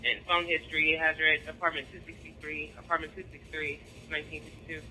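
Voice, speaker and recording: American man dispatching police, high and quick, clipped, over a slightly noisy scanner radio. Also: flat delivery, sharp tone. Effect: police radio transmission.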